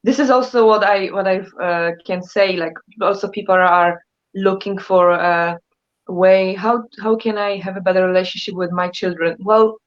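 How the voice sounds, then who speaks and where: A woman's voice: mid-range voice, steady tone, moderate pace. Woman, Polish accent, earnest and emphatic, dull lo-fi call sound. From Poland. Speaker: guest, therapist. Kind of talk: casual conversation.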